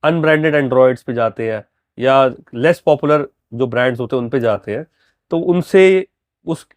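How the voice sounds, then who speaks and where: Casting: male dealer-installer, medium pitch, conversational product explainer, dry acoustic. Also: moderate pace, no room sound. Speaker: car audio dealer and installer.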